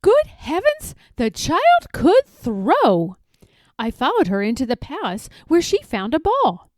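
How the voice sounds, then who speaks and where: A woman voicing a vain, indignant pampered palace dog, telling a storybook tale theatrically with dramatic pauses. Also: high-pitched, huge pitch swings, darkish tone, no room sound, studio quality, full character voice. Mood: haughty. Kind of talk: expressive storybook read-aloud.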